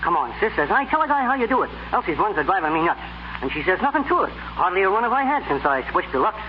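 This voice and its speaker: A breezy young husband in a vintage radio commercial. His slangy patter is fast and high, with faint hiss behind it. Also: sing-song. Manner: chatty, slangy, wisecracking. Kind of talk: 1930s radio ad acting. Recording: muffled, faintly noisy 1930s radio recording.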